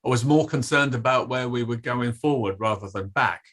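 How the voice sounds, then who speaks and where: An actor playing a defensive, evasive company safety official testifying at an inquiry in a play reading, formal and guarded. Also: male voice, medium pitch, normal speed, a bit bright, natural voice. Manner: guarded, formal, clipped denials with hesitant hedging. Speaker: actor in an online table read.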